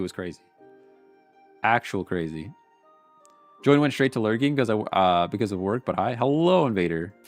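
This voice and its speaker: A man with a stuffy, congested voice streams his commentary, reading card text aloud and then giving his blunt, wry take on it. His voice sits a little low. Faint music plays in the background. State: congested, sniffling. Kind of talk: casual pack-opening commentary.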